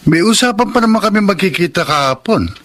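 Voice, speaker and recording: A young Filipino man in a radio romance drama, the frank friend of the couple. His voice sits a bit high for a man and is delivered with dramatic sincerity. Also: expressive, fast talker, fairly bright tone. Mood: hurt, resentful. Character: young man, teasing but honest, aggrieved friend. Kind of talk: Tagalog radio drama acting.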